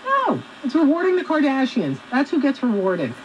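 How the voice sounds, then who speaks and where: A woman's voice: sits high, wildly swooping pitch, moderate pace, highs cut off. A woman talking conversationally on the radio, heard through a shortwave receiver. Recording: noisy shortwave radio pickup.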